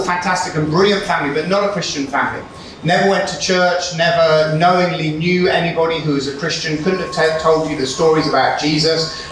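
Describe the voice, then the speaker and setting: A man's voice: on the high side, a little hiss or hum. Man preaching in an echoey hall. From Israel. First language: Hebrew. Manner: storytelling, warm humour, rhetorical questions. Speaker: conference speaker. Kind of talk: sermon-style talk. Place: large hall with audience. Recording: echoey hall, far from the mic.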